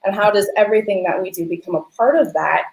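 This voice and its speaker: A woman's voice: mid-range voice, fast talker, creaky, a little mellow. A woman co-hosting a conversational discussion, explaining earnestly and posing rhetorical questions as she goes. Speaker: co-host, lighting designer. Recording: roomy, echoing sound.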